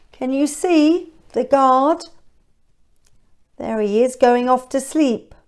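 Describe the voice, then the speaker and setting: A woman's voice: mid-range voice, a little mellow. A woman reading a children's story aloud expressively, with chatty questions and asides for young listeners.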